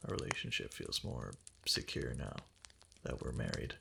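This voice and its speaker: A man playing an obsessively devoted kitsune husband in an intimate romantic ASMR roleplay, speaking softly and hesitantly in a breathy, often whispered voice close to the mic. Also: very deep voice, moderate pace, noisy background, heavily acted. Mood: adoring, wistful. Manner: soft, hesitant, clingy pillow talk. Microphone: close ASMR microphone.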